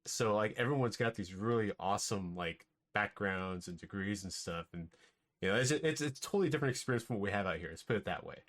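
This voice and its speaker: A man's voice: fairly low pitch, expressive, fast, fairly bright tone. Easygoing male podcast host. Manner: easygoing, chatty, repeats words, uses "like" and "stuff". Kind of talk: casual podcast chat.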